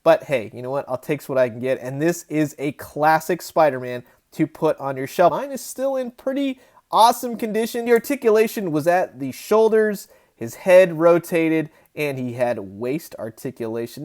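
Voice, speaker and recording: A man's voice: fairly high pitch, expressive, moderate pace, a little mellow. A man in his thirties giving a casual, enthusiastic toy review, chatty and emphatic as the host.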